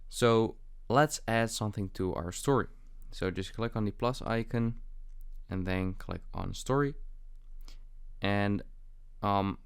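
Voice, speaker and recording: A man's voice: fairly low pitch, clean tone. A young man hosting a casual how-to walkthrough, speaking in an improvised, hesitant way with frequent fillers. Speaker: tutorial host.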